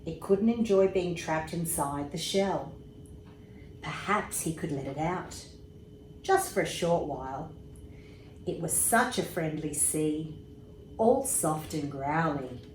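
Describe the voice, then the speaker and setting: A woman reads a bedtime story aloud to young children in an expressive, unhurried way, pausing for little asides. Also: sits low. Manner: unhurried, expressive, pauses for asides about pictures. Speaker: storybook reader, emergency services commissioner. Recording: roomy echo with faint background noise.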